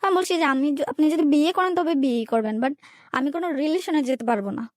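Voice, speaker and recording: A woman's voice: high-pitched, fast talker, a little mellow, dry recording, studio quality. A young woman with a Bangladeshi accent tells a personal story in an interview, colloquially, recounting conversations turn by turn. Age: young adult. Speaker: guest telling her life story.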